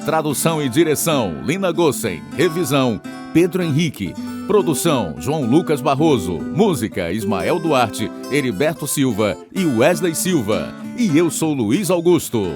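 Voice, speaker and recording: A man with a Brazilian accent reading a scripted radio announcement in a formal, clearly paced way. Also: medium pitch, big pitch swings, normal speed, over music.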